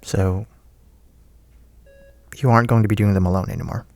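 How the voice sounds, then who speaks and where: An actor playing a friendly, teasing friend with a hesitant, chatty manner in a naturalistic audio drama. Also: male voice, lowish voice, steady tone, normal speed, a little mellow, no room sound, close to an everyday voice. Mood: warm, joking. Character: friendly, teasing male friend leaving a recorded message.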